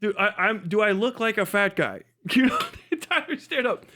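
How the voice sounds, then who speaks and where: A high-voiced man hosts a casual reaction stream. He talks in incredulous, mocking slang and swears heavily. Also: very animated, moderate pace, pushed and bright, slight background noise. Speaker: livestream host reacting to a video. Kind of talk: casual profane reaction commentary.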